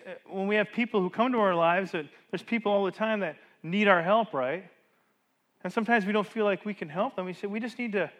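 A man, a pastor, preaching a sermon in church on a microphone, chatty and full of rhetorical questions to the congregation, with big swings of loudness for emphasis. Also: sits high. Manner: voice rises and falls sharply for emphasis.

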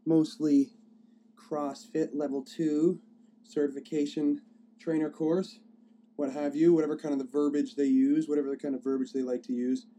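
A hoarse man with a cold hosting his own podcast, rambling casually with many fillers and restarts as he streams live from his phone. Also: a little high, little pitch movement, moderate pace, dark tone, slight background noise. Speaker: podcast host. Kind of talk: casual solo podcast talk.

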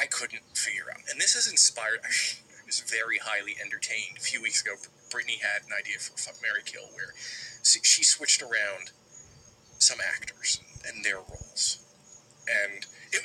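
A man with a very bright, forceful voice talks in a halting, rambling way, as if leaving a casual voicemail. He repeats words as he goes, and there is faint noise on the recording. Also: medium pitch. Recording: noisy amateur voicemail recording.

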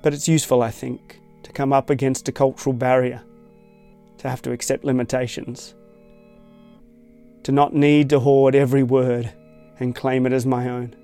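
A man from Tasmania with an Australian accent, reading a reflective scripted essay in a measured, thoughtful way. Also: medium pitch, smooth, faint music behind. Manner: measured, thoughtful, even-toned. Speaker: essay narrator.

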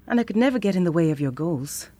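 A woman's voice: on the low side, animated, fast talker, warm tone. An actress playing a frank, playful, independent woman in a naturalistic audio drama. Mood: tender, hurt.

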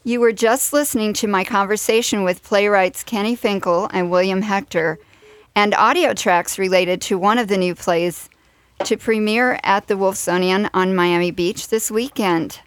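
A woman's voice: mid-range voice, moderate pace, no room sound. A woman hosting a radio show from a studio, introducing segments and interviewing guests.

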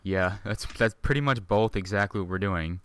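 Young male co-host, casual, slightly low, smooth voice, jokey. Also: normal speed, no room sound, clean studio sound. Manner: joking, laughs a lot. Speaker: podcast co-host. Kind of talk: casual chat. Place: home, low chair at a table.

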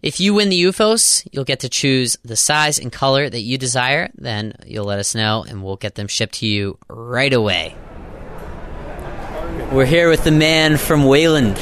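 A man hosting a podcast, interviewing exhibitors at a trade show in a jokey, upbeat way with quick affirmations. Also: medium pitch, a bit bright, a little hiss or hum. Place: outdoor trade show floor.

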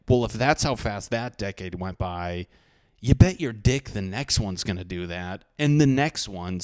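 A man's voice: medium pitch, dry recording, clean studio sound. A man in his thirties hosting a podcast alone, rambling casually with lots of swearing and self-deprecating jokes. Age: 30s. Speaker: podcast host. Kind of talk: casual solo podcast monologue.

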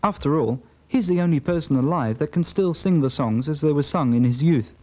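A man's voice: a little high, animated, a little fast, mellow. A man reading scripted documentary narration as the narrator.

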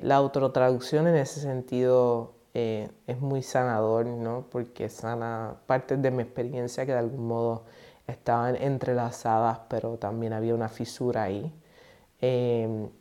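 Poet, halting and reflective, mellow voice. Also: mid-range voice, fairly even intonation. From United States and Puerto Rico. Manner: hesitant, thoughtful, many fillers and restarts. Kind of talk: reflective interview answer.

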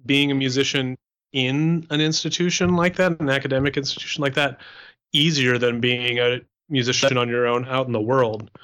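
A young man from Canada speaking as an interview guest, explaining his life as a musician in a conversational, sociable way. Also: medium pitch. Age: young adult. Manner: animated explaining, sociable extrovert.

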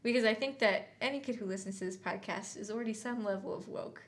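A woman's voice: mid-range voice, quick pace. A woman co-hosting a podcast as a story writer, casually explaining her thinking in a reflective way.

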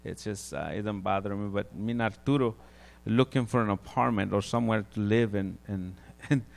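A man from Guatemala with a Guatemalan Spanish accent, giving an informal testimony sermon, halting and repeating words as he goes. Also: lowish voice, gravelly. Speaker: preacher.

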